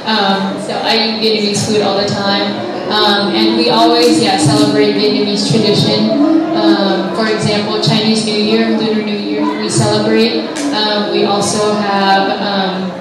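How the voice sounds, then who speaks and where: A young woman from the United States, a visiting guest, answering interview questions hesitantly with many pauses and fillers. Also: medium pitch, completely flat delivery, over loud music. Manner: hesitant, many ums, friendly. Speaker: guest, visiting English teacher. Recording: echoey hall, mic far off, noisy.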